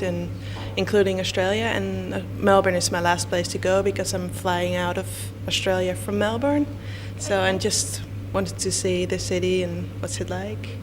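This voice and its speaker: Woman, hesitant, reverberant. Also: lowish voice. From Netherlands. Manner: hesitant, lots of fillers. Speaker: interviewee. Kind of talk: street interview answer. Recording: reverberant with background noise.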